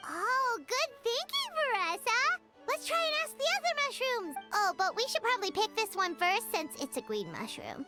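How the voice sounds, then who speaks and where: A woman voicing a small, childlike, excitable and easily indignant companion in a video game, with a squeaky voice. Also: normal speed. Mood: eager. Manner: exclamatory, talks of herself in third person. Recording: music behind the voice. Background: game music.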